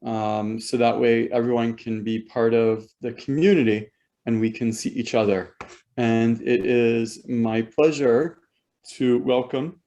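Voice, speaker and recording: A man hosting as rabbi on a video call, speaking slowly and deliberately and often stopping to restart his sentences. his pitch sits right in the middle for a man, and the sound is plain video-call audio. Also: steady tone, mellow, muffled recording. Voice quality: halting, many ums and repeated words. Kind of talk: hesitant spoken introduction.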